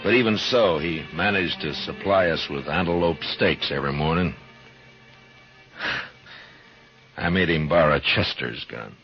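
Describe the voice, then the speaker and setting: Steely old west marshal, low american voice, terse, old muffled radio show. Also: male voice, unhurried, long pauses. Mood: reflective. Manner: terse, unflinching, commanding. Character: steely, world-weary frontier U.S. marshal. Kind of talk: 1950s radio western acting and narration. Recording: dull 1950s radio recording, music.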